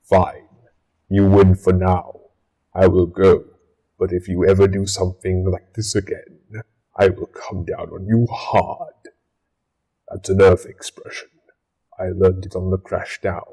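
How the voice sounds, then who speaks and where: A man playing a proud, vengeful alien warrior commander in an audio drama, with measured, threatening delivery. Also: deep voice, little pitch movement, creaky, mellow, husky, heavily acted, poor audio. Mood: grudging, threatening. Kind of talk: fan audio drama acting.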